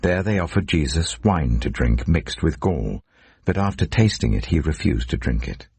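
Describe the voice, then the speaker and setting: Male narrator for dramatic scripture audiobook: extremely low, husky voice with animated pitch movement. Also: moderate pace, no room sound.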